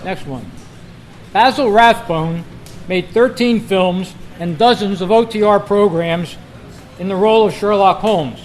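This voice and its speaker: High-pitched man reading out quiz questions. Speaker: quiz host at a club meeting. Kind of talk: reading trivia questions aloud to a live group. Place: indoor club meeting. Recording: noisy live meeting room recording.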